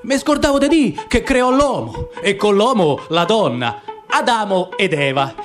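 A man giving a theatrical, lively dramatic reading of dialect poetry and prose. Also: high voice, unhurried, over music. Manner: theatrical, lively, savoring the words. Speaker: narrator.

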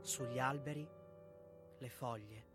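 Actor doing a somber guy reciting war poetry real slow, loud music over it. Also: medium pitch, close to an everyday voice.

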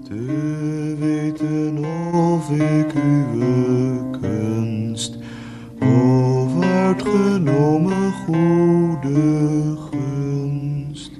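An actor playing a brooding young poet in a radio play, speaking softly and singing verse to guitar. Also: male voice, very slow. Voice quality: soft, low-spoken when writing, sings verse to guitar. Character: poet Bredero, man, young adult, brooding, wry. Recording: music playing behind voice. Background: guitar music.

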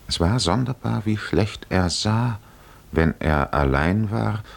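An actor playing a brooding male storyteller in a 1950s radio play, speaking in a solemn, deliberate, literary way. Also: deep voice, vocal fry, exaggerated voice. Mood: measured, narrating. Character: grave male storyteller addressing Odysseus, brooding. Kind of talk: 1950s radio drama narration.

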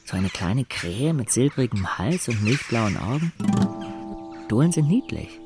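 An actor playing an introspective, wry teenage boy who narrates his own life in a measured, literary audio drama style. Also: mid-range voice, sing-song. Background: music.

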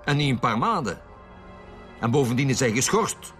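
A stern police commissioner with a Flemish accent in a radio drama. He is blunt and insistent, pushing every point in a medium-pitched voice. Dramatic music plays clearly behind him. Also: sing-song, moderate pace, fairly bright tone. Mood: probing. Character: stern, pressing male police commissioner leading interrogations. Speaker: actor.

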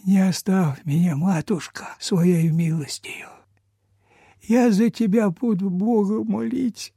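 An actor playing a sick, humble old peasant man begging in a weak, breathy, folksy voice in a radio play. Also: sits high, dark tone, dry recording, studio quality, exaggerated voice. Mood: pleading, grateful. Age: older. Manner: slow, pleading, folksy rural speech. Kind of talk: Soviet radio play acting.

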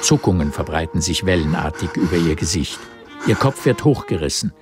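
A man narrating a radio drama in a measured, terse literary style. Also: deep voice, expressive, music in the background. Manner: measured, terse present-tense storytelling.

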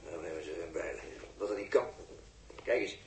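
An actor playing a sarcastic, impatient spaceship technician in a 1960s radio drama, explaining things in a condescending, halting way. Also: male voice, low-pitched, roomy sound, close to an everyday voice. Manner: sardonic, condescending, halting while busy.

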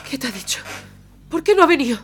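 A woman's voice: high voice, sing-song, fast talker, a bit bright, slight background noise. An actress from playing a hurt, indignant young woman in an audio drama, speaking with agitated emotion. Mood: alarmed. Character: hurt, indignant young sister worried for her sweetheart.

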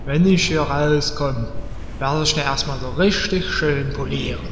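An actor playing a coarse, dim, childishly excitable adventurer with a drawl in a comedic fantasy audio drama. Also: male voice, mid-range voice, measured pace. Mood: grumbling. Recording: echoey reverberant hall, faint noise.

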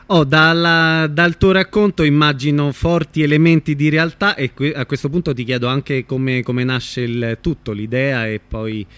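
A man's voice: a little high, steady tone, normal speed, few pauses, a bit bright, smooth, no echo. A man hosting a radio show in a conversational presenting style, introducing and questioning guests. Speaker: radio host.

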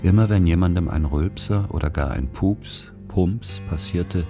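Very deep-voiced male narrator. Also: unhurried, music in the background. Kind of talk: literary scripted narration of childhood memories.

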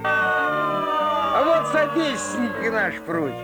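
An actor playing a sly, folksy storyteller, narrating a fairy tale in rhyming, theatrical style. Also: male voice, very high-pitched, very animated, slow pace, loud music behind the voice, heavily acted, poor audio. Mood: folksy, showy.

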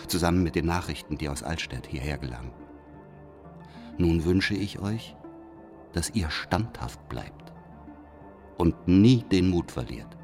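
An actor playing a solemn, scheming letter writer from sixteenth-century Germany, speaking in formal, measured rhetoric. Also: male voice, rumbling low. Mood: devout, earnest. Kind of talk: literary radio drama monologue, reading a letter.

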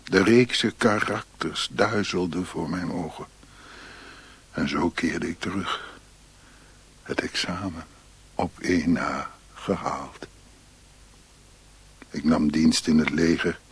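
A man playing a weary failed Chinese scholar who recounts his life in a radio drama monologue, measured and husky. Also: low voice, exaggerated voice.

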